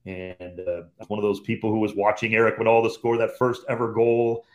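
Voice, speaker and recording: A man's voice: on the low side, little pitch movement, fairly quick, a little mellow. A man in his fifties from Cleveland, a radio sports commentator, telling his career story as a podcast guest in an easygoing, reflective way. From Cleveland, Ohio, USA. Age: 50s. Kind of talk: relaxed storytelling in conversation.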